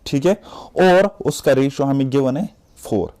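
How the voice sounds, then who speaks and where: A man's voice: mid-range voice, moderate pace, warm tone. A young man with a Kashmiri accent teaching a math lesson for exam prep, patiently repeating steps and often checking that students follow. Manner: patient, repetitive explaining, often checking understanding.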